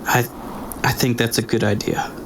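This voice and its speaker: A man's voice: mid-range voice, little pitch movement, normal speed, fairly bright tone, natural voice. A man voicing a nervous young male scout who answers hesitantly and stammers. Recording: noisy recording with gravelly texture.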